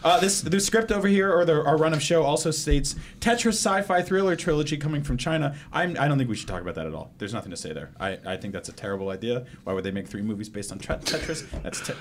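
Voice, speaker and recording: A man's voice: on the high side, animated, quick pace, hardly any pauses, background noise. A man hosting a casual comedic panel chat in a sardonic, wry manner. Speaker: podcast host.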